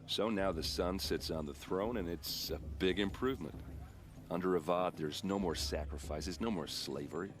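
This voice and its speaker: A man's voice: low voice, normal speed, lightly acted. An actor playing a friendly, chatty young warrior who boasts about his tribe and his steel armor. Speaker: soldier in a king's vanguard. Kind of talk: video game cutscene dialogue. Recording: game audio with ambient noise.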